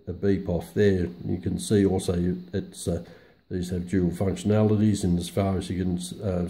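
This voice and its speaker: A man's voice: sits low, warm tone. A man presenting a casual, unscripted product walkthrough in a hesitant, matter-of-fact way. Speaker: presenter of a radio review video.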